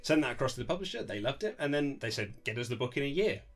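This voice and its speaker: Male interview guest, medium pitch, rapid chatty delivery, self-deprecating storyteller. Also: big pitch swings, faint music behind. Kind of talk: casual chat, telling anecdotes.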